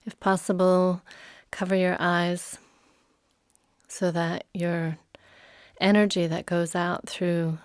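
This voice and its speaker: A woman leading a guided meditation as a yoga nidra teacher, soothing and unhurried, leaving long pauses. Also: fairly low pitch, a little mellow, clean tone, dry recording, studio quality.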